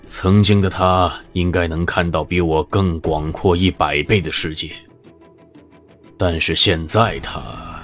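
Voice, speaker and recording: Deep, grave shadowy mentor. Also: male voice. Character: cryptic shadowy male mentor who foresees futures. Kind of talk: audio drama acting.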